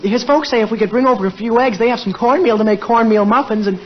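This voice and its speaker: A young man playing a polite, earnest teenage son, talking quickly in 1940s radio acting style. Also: very high voice. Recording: dull lo-fi old radio broadcast.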